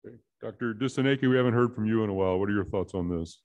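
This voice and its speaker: Brisk male moderator, dull sound. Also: lowish voice, darkish tone. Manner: brisk, keeps time. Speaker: panel moderator. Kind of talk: moderating a panel.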